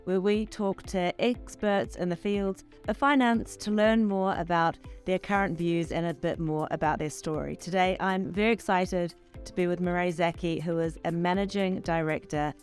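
A woman's voice: lowish voice, steady tone, normal speed, few pauses, soft background music. A woman hosting an interview, introducing her guest and asking questions in a conversational but prepared style. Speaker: interview host.

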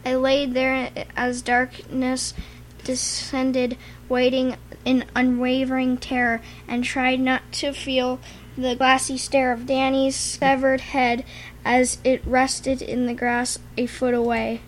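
A woman with a high, even voice narrates horror at a slow, suspenseful pace. Faint ambient noise sits behind her. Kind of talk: dramatic horror story narration. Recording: noisy ambient background.

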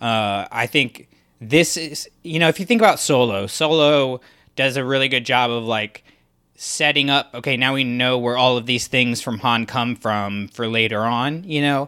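A man's voice: mid-range voice, normal speed, pushed and bright, clear, resonant tone. A man co-hosting a podcast, chatting casually with many fillers and restarts. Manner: rambling, lots of fillers and restarts. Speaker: podcast co-host. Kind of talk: casual chat.

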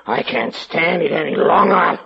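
A man's voice: on the high side, expressive, moderate pace, vocal fry. An actor playing a fearful, obsessed man who stammers and repeats words in a 1940s radio horror drama. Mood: frightened suspense, then manic hysteria. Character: fearful, obsessed haunted man, unravelling into mania. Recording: muffled old radio recording with background noise.

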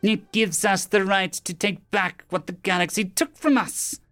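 A man's voice: high voice, animated, normal speed, voice pushed up. A man voicing a proud, menacing alien captain in an audiobook, formal and sneering, bitter and grandiose. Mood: bitter rage. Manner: formal, sneering, theatrical delivery.

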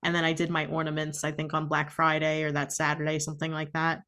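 A woman with a slightly low voice chats as a podcast co-host. Her delivery is informal and conversational, like everyday talk between two people. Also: monotone, fast, bright, forceful tone. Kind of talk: casual chat.

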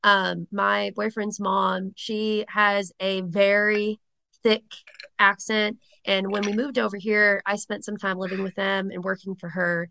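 A woman's voice: mid-range voice, little pitch movement, a little slow, creaky, bright, forceful tone, rough recording. A woman in her late twenties from Rhode Island, a guest chatting casually in an interview and saying um often, her Rhode Island accent faintly tinged with a Southern lilt. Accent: Rhode Island with a slight Southern tinge. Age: late 20s. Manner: chatty, with frequent ums and laughs.

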